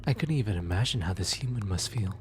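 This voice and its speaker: A performer playing a gentle, awkward demon soul retriever in an ASMR audio roleplay, speaking softly and hesitantly, often close to a whisper. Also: low-pitched, animated, soft background music. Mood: uneasy muttering. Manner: hesitant, halting, sighing, muttering to self.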